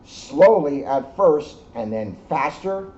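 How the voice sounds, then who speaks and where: Male storybook reader, police officer, relaxed warm timbre, lo-fi recording. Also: on the high side, slow. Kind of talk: children's storybook read-aloud with casual asides. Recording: dull lo-fi sound, faint background noise.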